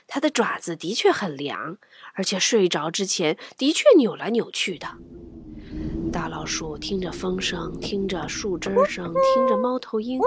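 A woman's voice: medium pitch, background noise. A woman narrating a picture book for children, playful and dramatic, often dropping into a whisper. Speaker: children's storyteller.

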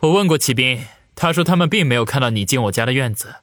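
A man voicing a calm, scheming younger gang leader in an audiobook, speaking with measured confidence. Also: mid-range voice, no echo, studio quality, pitched above the performer's own voice, lightly acted. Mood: calm, calculating. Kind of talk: audiobook character acting.

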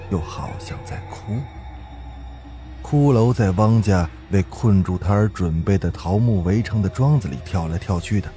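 A man's voice: deep voice, mellow. A man hosting and narrating ghost stories in a conversational, folksy, suspenseful storytelling style. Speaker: horror podcast host and narrator.

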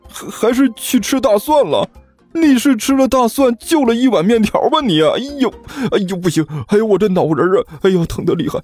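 A man voicing an exasperated, hot-tempered young male student in an audiobook, stammering and whining in shrill outbursts. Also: darkish tone. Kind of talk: audiobook character acting.

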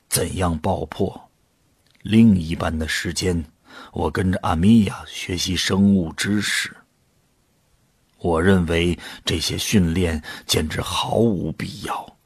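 A man narrating an audio drama in the first person, in a sombre, deliberate storytelling manner. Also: deep voice, breathy edge, dry recording, clean studio sound.

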